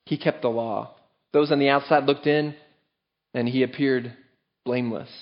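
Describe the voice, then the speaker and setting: An earnest male pastor preaching in a church, driving his points home with firm emphasis. His voice sits at a middle pitch for a man. The recording sounds a little dull and lo-fi. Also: steady tone, normal speed. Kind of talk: sermon.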